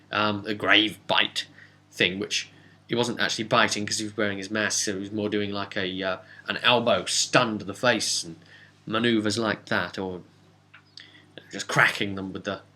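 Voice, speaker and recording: A man giving a casual, unscripted recap as a tabletop game master, rambling and animated with hesitant asides. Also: fairly low pitch.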